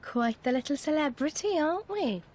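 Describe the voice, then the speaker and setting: An actress playing a relaxed, gently teasing young woman companion in a video game cutscene. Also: sits high, moderate pace.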